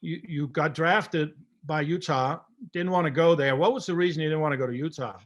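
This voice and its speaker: A man's voice: fairly high pitch, fairly quick. A man in his sixties from Denmark, hosting a casual video-call interview with a friendly, chatty manner and a headset mic. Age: 60s. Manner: friendly, chatty, repeats words. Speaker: podcast host. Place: home room.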